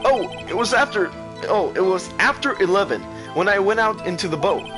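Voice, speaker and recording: A man voicing an excitable, flustered young male witness in a game dub, rambling and defensive as he stammers. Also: high voice, brisk, music in the background, voice pushed up.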